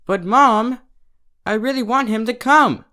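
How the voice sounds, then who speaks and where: A narrator voicing a shy, excitable young pegasus filly in a children's cartoon fan story. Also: mid-range voice, measured pace, smooth, pitched above the performer's own voice. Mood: pleading.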